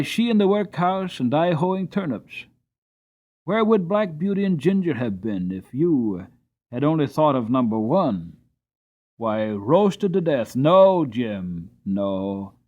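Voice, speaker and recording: Man reading as a good-hearted working coachman, earnest, lively pitch, tinny sound. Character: kind, hardworking male coachman. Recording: tinny narrowband recording.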